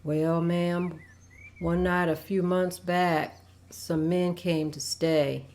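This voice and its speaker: A woman's voice: on the low side, flat delivery, takes it slowly, a little mellow. A woman playing a humble, downcast young servant, subdued and deferential, in a scripted table read. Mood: ashamed, subdued.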